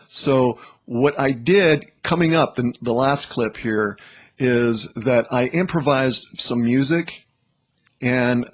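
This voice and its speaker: A man hosting a video, talking casually and informally to the viewer. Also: mid-range voice. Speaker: video host.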